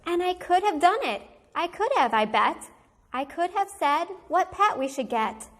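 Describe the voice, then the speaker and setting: Woman, high voice, slow pace, kindergarten teacher, animated picture-book read-aloud, deliberate storytelling for small children.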